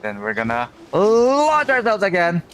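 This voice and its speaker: A game streamer giving playful, excitable playthrough commentary, often repeating phrases in excitement. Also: medium pitch, unhurried, slight background noise.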